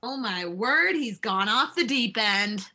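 A bright, sharp-voiced office worker in a radio comedy, played by a woman. She speaks slowly and a little high, with melodramatic shock. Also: expressive, heavily acted. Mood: alarmed. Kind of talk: improv podcast radio play acting.

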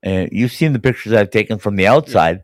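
A man hosting a college hockey podcast, hoarse and raspy from a cold, talking enthusiastically and rambling with fillers and restarts. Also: lowish voice, expressive, brisk, no echo, clean studio sound. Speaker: podcast host. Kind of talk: casual sports chat.

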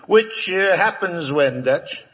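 An actor playing a cocky, taunting outlaw gunslinger, breezy and mocking, in a 1950s Western radio drama. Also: male voice, high voice, expressive, slow pace, a bit bright, a little hiss or hum, heavily acted, rough recording. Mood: amused. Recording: vintage 1950s radio broadcast.

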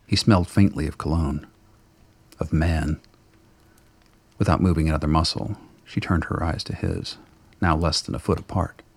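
A man narrating a radio play in a scripted, dramatic storytelling style with a gravelly, husky voice. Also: rumbling low, steady tone, moderate pace, no room sound.

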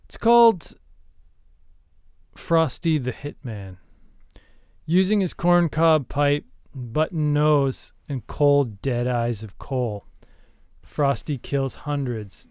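A man co-hosting a comedy podcast, chatting casually in a deadpan, mock-earnest way. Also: a little high, takes it slowly, long pauses, clear, resonant tone, no room sound, studio quality. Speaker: podcast co-host.

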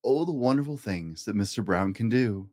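A man reading a rhyming children's picture book aloud in a playful, expressive way, as a storytime host. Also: on the low side, moderate pace, dry recording, studio quality. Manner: playful, acts out animal and object sound effects.

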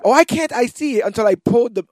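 A man co-hosting a podcast, chatting casually, telling things in an animated way and speaking straight to listeners. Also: very high-pitched, fast, dry recording, studio quality. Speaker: podcast co-host. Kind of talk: casual chat, comic book recap.

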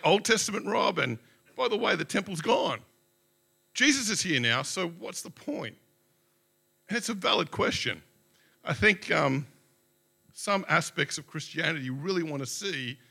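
A man's voice: a little high, gravelly, sharp tone. A male pastor, speaking in a casual, self-joking way into a handheld mic to his congregation. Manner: chatty, self-joking, many fillers. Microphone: handheld microphone.

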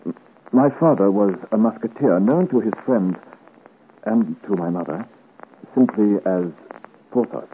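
Deep-voiced, earnest young swordsman. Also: animated, a little slow, long pauses. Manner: earnest, courtly, formal period diction. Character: earnest, gallant young swordsman of great size, seeking his father's name. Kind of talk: 1950s radio serial acting. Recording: muffled, hissy old radio serial recording.

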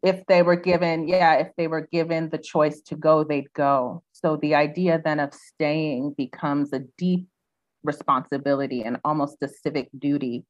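A woman's voice: sits low, steady tone, moderate pace, dry recording, lo-fi sound. A young woman raised in the United States, speaking reflectively as a guest in a casual interview, with many "um"s and "you know"s.

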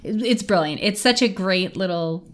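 A woman's voice: mid-range voice, moderate pace. A woman co-hosting a podcast in a casual, opinionated chat, with an emphatic, playfully argumentative manner. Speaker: podcast co-host.

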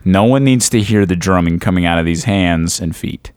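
A man with a fairly low voice that sounds a little stuffed up, chatting on a podcast. He jokes and mocks himself, often slipping into quick impressions. The sound is dry and close. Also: a little mellow, smooth, no room sound. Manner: joking, self-mocking, acts out voices in anecdotes. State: voice stuffed up, deeper than usual. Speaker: podcast co-host, drummer. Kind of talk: casual chat.

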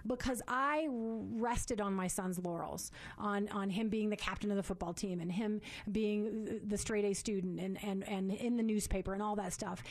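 A woman in her forties hosting a faith-based parenting talk, loud and fast-talking, earnest and prone to repeating words as she restarts. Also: medium pitch, steady tone.

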